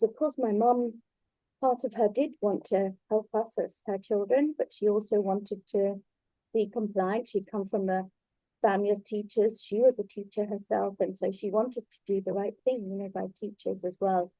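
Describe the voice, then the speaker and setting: A middle-aged or older native Bengali-speaking woman giving a reflective, conversational talk, pausing and feeling her way through her thoughts. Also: mid-range voice, steady tone, warm tone, dry recording, poor audio. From Bangladesh in early childhood, then moved abroad. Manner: hesitant, thoughtful, halting phrasing. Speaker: invited speaker at a book launch.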